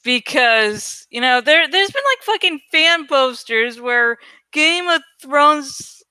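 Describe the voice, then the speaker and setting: A woman's voice: high-pitched, expressive, slow, bright, forceful tone, dry recording. A woman chatting casually and thinking aloud while she works, rambling, swearing and sometimes searching for words. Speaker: online creator and host. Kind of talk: casual rambling chat while working on a graphic.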